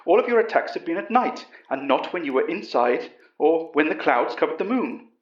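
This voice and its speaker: Man as an elderly English professor, earnest storyteller, higher-pitched voice, horror audio drama, roomy recording. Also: sing-song, normal speed. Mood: reassuring. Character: elderly English professor recounting monster encounters, earnest and calm. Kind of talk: first-person horror audio drama narration. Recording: roomy, slightly dull sound.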